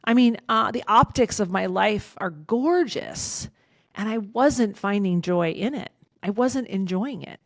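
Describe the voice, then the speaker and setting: A woman in her fifties, a podcast guest, talking candidly in an outspoken, emphatic way with a loud voice, swearing freely. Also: medium pitch, expressive, unhurried, dry recording, clean studio sound. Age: 50s. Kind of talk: candid casual conversation.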